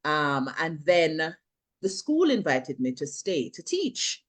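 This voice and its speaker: A woman's voice: sits low, sing-song, takes it slowly. A middle-aged woman with a Jamaican accent, chatting animatedly as an interview guest, laughing often and slipping into patois. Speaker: filmmaker and actress, guest. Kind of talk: casual interview chat.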